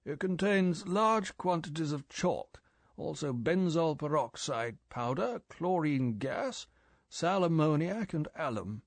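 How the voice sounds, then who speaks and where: A man's voice: fairly high pitch, expressive, slow, no room sound, voice pushed up. A man reading an audiobook, voicing M, a stern older male spy chief who lectures in a clipped, scolding way. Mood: stern lecturing.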